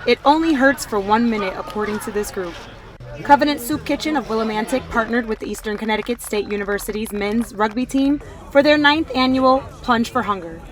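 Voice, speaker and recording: A woman reporting a student news segment in a scripted, measured voice. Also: fairly high pitch.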